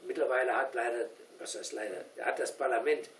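An older man answers as a guest lecturer in a discussion. He speaks at a middle pitch, deliberately and haltingly, correcting himself as he explains. The sound is roomy and distant, with some noise behind him. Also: steady tone. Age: older, 60s or 70s. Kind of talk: discussion after a talk, explaining. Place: small indoor meeting room with seated audience. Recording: roomy, distant camera mic, background hiss.

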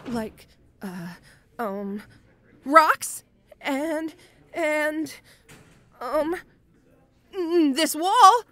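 Casting: female actor as timid, muscular orc schoolgirl for roleplay audio; high pitch, slow, stammering, apologetic delivery. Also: animated, bright, forceful tone, heavily acted. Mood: nervous bravado. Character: shy, awkward, muscular tomboy orc schoolgirl. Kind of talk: roleplay audio acting addressed to the listener.

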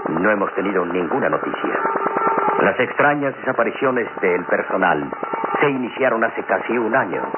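An actor playing a grave, authoritative military general in an old radio serial, speaking formally and deliberately. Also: male voice, lowish voice, lively intonation, close to an everyday voice. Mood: grave, worried. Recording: old radio recording, loud hum, muffled.

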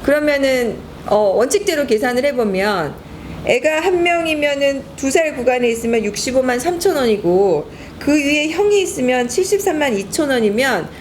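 A middle-aged woman, a lawyer hosting a talk show, explaining rules clearly to a co-host. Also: sits high, lively intonation, moderate pace, a little hiss or hum.

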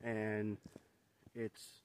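A man's voice: on the low side, completely flat delivery, extremely slow, slight background noise. A man in his thirties talks into a handheld camera as he walks along an overgrown forest road. His talk rambles and turns reflective, full of "you know" and restarts. The sound is open and outdoors. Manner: rambling, reflective, many fillers. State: walking while talking. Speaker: vlogger. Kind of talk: casual walking vlog. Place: outdoors on a wooded trail. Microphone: phone or camera held at arm's length.

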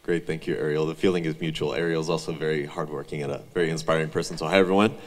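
Deep, fast-talking young man. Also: steady tone, a little hiss or hum. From Bulgaria. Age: young adult. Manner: fluent, confident, quick. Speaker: student speaker. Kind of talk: presentation talk. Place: large hall. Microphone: handheld mic. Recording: echoey hall sound.

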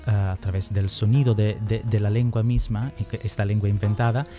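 A man's voice: medium pitch, mellow. A man, answering as a musician guest in a radio interview. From Sicily, Italy. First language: Italian or Sicilian. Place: radio studio. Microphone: close studio microphone with headphones.

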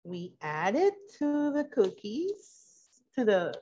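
A woman's voice: mid-range voice, sing-song, slow, warm tone. A middle-aged woman giving a live cooking demonstration in a kitchen, thinking aloud as she works. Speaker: cooking class instructor. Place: indoor kitchen with steel fridge and counters. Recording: dull, muffled lo-fi live video sound.

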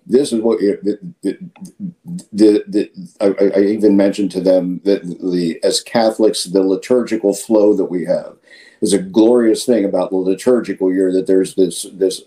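Older man, priest, deep voice, very warm dark tone, halting conversational delivery, video call. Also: rough recording. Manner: halting, with repeated words and emphatic asides. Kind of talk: conversational interview answer. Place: indoor room, video call.

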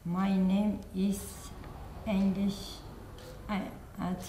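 Very slow, halting woman reading aloud. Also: medium pitch, flat delivery, warm tone, echoey room, a little hiss or hum. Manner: halting, word by word, self-correcting. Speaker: adult English learner. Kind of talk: hesitant answers in basic English. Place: small studio set, indoors.

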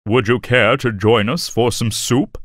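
A man playing a friendly, easygoing traveler with a sly streak and an amiable, courteous manner in an animated children's story. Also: mid-range voice, measured pace, dry recording, clean studio sound.

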